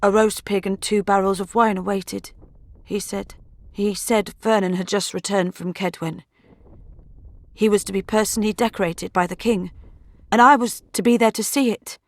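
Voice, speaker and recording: Woman acting young soldier girl from a fantasy game, shaken and grim, mid pitch, really dry recording. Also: fairly even intonation, normal speed, no room sound, close to an everyday voice. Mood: shaken, subdued.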